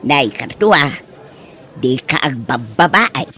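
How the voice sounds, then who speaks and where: A woman's voice: rumbling low, sing-song, slow pace, bright, forceful tone, husky, full character voice. A woman playing an elderly, grumbling mother who is stingy yet vain, in an Ilocano comedy audio drama. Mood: nagging. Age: older.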